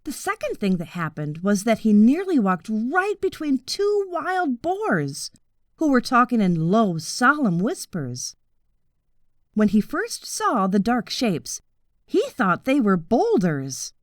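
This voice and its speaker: A woman narrates a children's audiobook at an unhurried pace. Her expressive delivery swings widely in pitch, and the recording is close and dry. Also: dry recording. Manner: expressive, deliberate storytelling. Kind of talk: scripted children's story narration.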